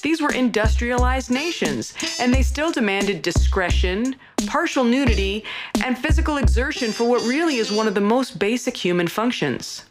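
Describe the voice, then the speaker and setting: Woman storyteller over loud instrumental music. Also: on the high side, animated, normal speed. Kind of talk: recorded comic storytelling, satirical monologue.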